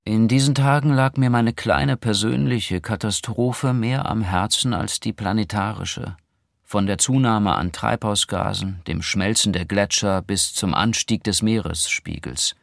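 A male audiobook narrator with a fairly low voice reads literary prose and dialogue. The recording is close and dry, without room sound. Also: unhurried, vocal fry, no room sound.

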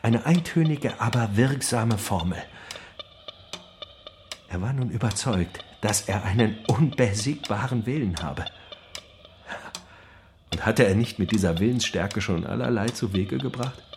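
A man narrating a literary radio play in an expressive, deliberate, theatrical manner. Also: on the low side, soft background music.

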